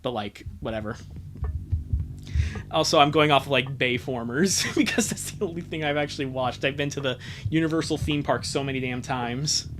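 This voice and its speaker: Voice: mid-range voice, normal speed, noisy background. A streamer giving casual, wry and exclamatory commentary during a live fan dub reading.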